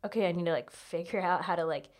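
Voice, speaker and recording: A woman with a fairly low voice and an American accent. She chats as a guest in a casual interview, informal and rambling, with lots of filler "like". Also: fast talker. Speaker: guest, comedian.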